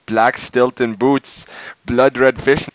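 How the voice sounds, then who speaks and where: A man's voice: medium pitch, little pitch movement, takes it slowly, no room sound, low-quality recording. A man hosting a dramatic reading of fan fiction, theatrical and stumbling over words. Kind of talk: mock-dramatic reading aloud.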